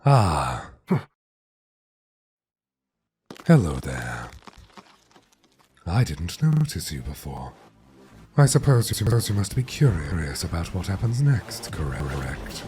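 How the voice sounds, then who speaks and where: A man's voice: low voice, very animated, a little slow, darkish tone, a little hiss or hum. A man playing an obsessive, brooding skeleton scientist in a dramatic comic dub, with precise, formal diction. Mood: eerie, halting. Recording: music behind the voice.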